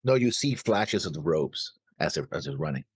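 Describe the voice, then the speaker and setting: A man co-hosting a reaction show in casual chat, animated but halting, often correcting himself as he goes. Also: extremely low pitch, husky, no echo, clean studio sound.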